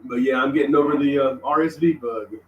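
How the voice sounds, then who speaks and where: A man with a worn-out, hoarse voice, his words coming out plain and unhurried. He sits back from the microphone, so his speech reaches it with a roomy echo and a faint hiss. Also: mid-range voice, steady tone, recorded from a distance, lo-fi sound. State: voice nearly gone after illness. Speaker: guest on a live cooking stream. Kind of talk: casual chat. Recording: echoey room, heard from off-mic.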